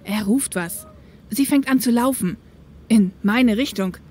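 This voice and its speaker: A woman's voice: fairly high pitch, a little slow, dark tone, breathy edge. A woman playing a streetwise young woman driver, wry and dreamy, in a German audio drama. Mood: alarmed.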